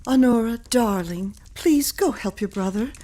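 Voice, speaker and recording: An actress playing a flustered, fragile widowed mother in a period audio drama, halting and repeating her words in a genteel, anxious way. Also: fairly high pitch, dark tone. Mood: fussing, distracted.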